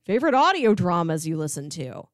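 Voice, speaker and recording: Lively, playful woman chatting. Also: fairly low pitch, brisk, no room sound, clean studio sound. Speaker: podcast co-host. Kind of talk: casual podcast chat about media.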